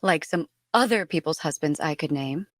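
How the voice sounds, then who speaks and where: A woman's voice: low voice, animated, moderate pace. A woman playing a catty, jealous, snide married woman in an old-time radio sitcom.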